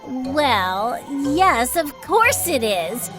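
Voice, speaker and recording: A woman playing a vain, grand singing queen of the dead in an animated film, haughty, languid and self-satisfied. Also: sits high, drawn-out words, over music, heavily acted. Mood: smug.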